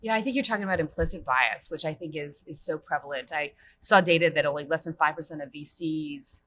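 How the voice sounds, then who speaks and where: A woman's voice: low voice, brisk, a bit bright, a little hiss or hum, low-quality recording. A woman on a conference panel, speaking earnestly and emphatically. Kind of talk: panel discussion. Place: conference stage.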